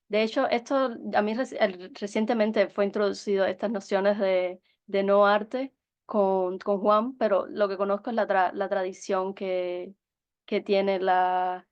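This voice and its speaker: A woman invited to speak in a discussion, answering slowly and hesitantly, searching for her words. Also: medium pitch, little pitch movement, clean tone, highs cut off.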